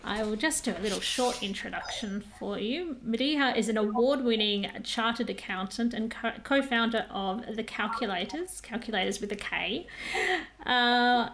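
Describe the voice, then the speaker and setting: Podcast host, woman with a slightly high voice, reading a scripted intro over steady background noise. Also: normal speed. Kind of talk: podcast interview.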